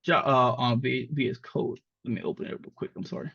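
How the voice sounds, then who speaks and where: A man's voice: mid-range voice, steady tone, a little fast, creaky, fairly bright tone, slightly rough, no echo. Mexican-born man teaching coding, dull sound. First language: Spanish. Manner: casual, rambling with many fillers. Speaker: coding instructor. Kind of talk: live coding lesson.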